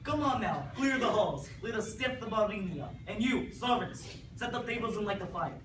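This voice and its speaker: A woman's voice: medium pitch, lively intonation, normal speed, close to an everyday voice. A woman voicing a jovial male noble hosting a feast in a live puppet show, giving grand commands to the room. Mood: hearty. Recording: echoey room from far off with noise.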